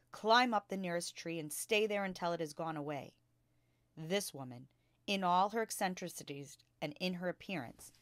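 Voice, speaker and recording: A woman reading a children's book aloud as a storyteller, in a measured and carefully expressive way. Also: fairly low pitch, no room sound.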